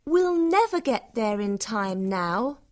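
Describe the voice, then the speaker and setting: Woman acting a sarcastic, cutting young woman, swinging pitch. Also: sing-song, slow pace, a little mellow. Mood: exasperated. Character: sarcastic, cynical blonde young woman, irritable. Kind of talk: acted audiobook dialogue.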